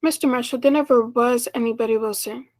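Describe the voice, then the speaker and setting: A woman's voice: fairly high pitch, fairly even intonation, brisk, darkish tone, natural voice. A woman playing a lonely, earnest schoolteacher in her thirties in a 1940s-style radio play, speaking politely and tenderly. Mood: apologetic, confessing.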